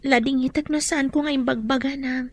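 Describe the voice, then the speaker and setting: A woman's voice: sits high, steady tone, fairly quick. A woman acting a shy, hesitant young student, a dutiful daughter, in a radio drama. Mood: worried.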